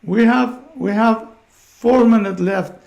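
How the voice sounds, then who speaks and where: A man with a notably high voice and a lot of gravelly fry in it. He hosts a live show casually, in plain, loosely put sentences. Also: slow pace, darkish tone, lo-fi sound. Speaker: interview show host.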